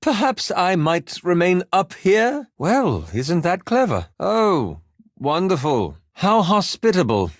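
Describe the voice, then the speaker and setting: A man's voice: high voice, huge pitch swings, slow, no room sound, clean studio sound, full character voice. An actor voicing a cautious, scholarly young male elf wizard in a video game, formal and dryly sardonic, with precise articulation. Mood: dry sarcasm. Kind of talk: scripted video game voice lines.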